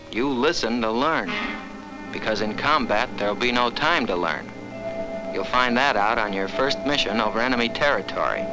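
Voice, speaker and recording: A man's voice: medium pitch, low-quality recording. A man speaking as a fighter pilot in scripted 1940s military training film narration, on an old film soundtrack. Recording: 1940s film soundtrack, loud music bed.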